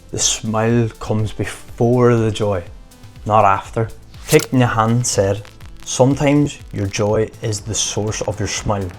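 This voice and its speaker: A man giving an earnest motivational talk to camera in short, emphatic phrases. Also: on the low side. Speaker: motivational vlogger.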